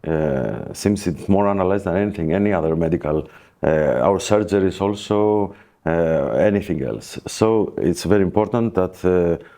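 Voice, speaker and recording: A man with a Greek accent explaining things as a guest expert in a podcast interview, in halting, hesitant phrases. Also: low-pitched, mellow. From Greece. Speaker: plastic surgeon, guest expert.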